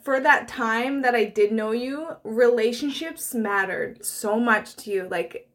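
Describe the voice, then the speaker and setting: A woman's voice: on the high side, a little slow, a bit bright. A woman in her thirties hosting an interview, chatty and searching for words with many likes and fillers. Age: 30s. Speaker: host. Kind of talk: conversational interview.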